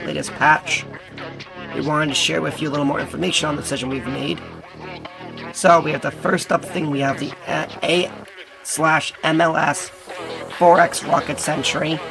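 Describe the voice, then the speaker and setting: Hoarse gravelly gaming host. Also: male voice, fairly high pitch. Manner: casual, reads aloud with stumbles. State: hoarse, partly lost voice. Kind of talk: casual gameplay commentary reading patch notes.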